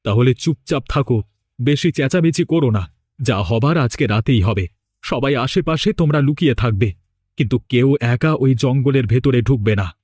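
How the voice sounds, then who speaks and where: A man plays a stern village leader in a horror cartoon. His mid-pitched voice is solemn and commanding, with a very dry sound. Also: normal speed, darkish tone, husky, no echo, close to an everyday voice. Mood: grave, commanding. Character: stern village leader giving grave orders and warnings.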